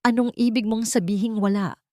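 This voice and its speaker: A woman's voice: a little high, fairly even intonation, brisk, a little mellow, no room sound, studio quality, voice pushed up, natural voice. Woman as an earnest, troubled wealthy man. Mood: worried. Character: troubled wealthy young father, hesitant and earnest.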